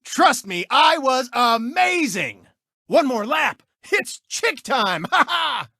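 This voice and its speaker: An actor voicing a cocky, gloating race car turned sportscaster in a video game, with a boastful, mocking announcer delivery. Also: male voice, very high-pitched, sing-song, takes it slowly, gravelly, sharp tone, no room sound, studio quality, heavily acted.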